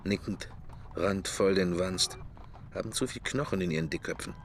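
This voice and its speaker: A man's voice: deep voice, moderate pace, a little hiss or hum. An actor playing a middle-aged man's drifting inner thoughts in a radio play, in murmured fragments. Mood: idle pondering. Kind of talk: radio play interior monologue.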